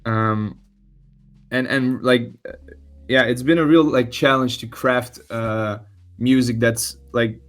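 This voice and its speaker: Young man, belgian accent, dutch native speaker, halting with fillers, mid pitch, faint music behind. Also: steady tone. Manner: hesitant, many fillers and restarts. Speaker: musician guest. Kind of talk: casual podcast interview.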